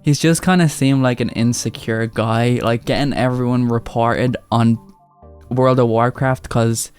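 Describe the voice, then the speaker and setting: A man's voice: medium pitch, fairly even intonation, unhurried, a little mellow, clear, resonant tone. A man talks through video clips in a loose, casual commentary. He is blunt and mocking and drops swear words freely, with faint music under him. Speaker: commentary YouTuber.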